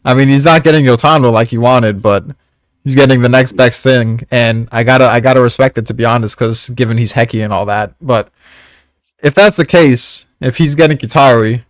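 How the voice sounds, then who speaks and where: A man's voice: mid-range voice, fairly quick, no echo. Male co-host, mid-range voice, casual and slangy, rambles with plenty of swearing, dry recording. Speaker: co-host of a manga discussion. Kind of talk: casual chat.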